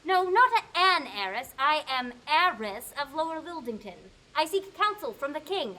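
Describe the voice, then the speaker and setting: Girl doing a stubborn old lady, high and bright, all over the place pitch-wise, begging the king. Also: very animated, normal speed, full character voice, poor audio. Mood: determined. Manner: determined, pleading. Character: old widow, stubborn and pleading, wants knighthood. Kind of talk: amateur radio play acting.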